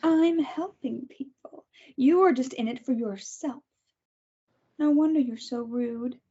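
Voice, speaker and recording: A woman's voice: high-pitched, a little slow, mellow, highs cut off. A woman reading a cold, self-righteous captor at a table read, making clipped, controlled threats. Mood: contemptuous.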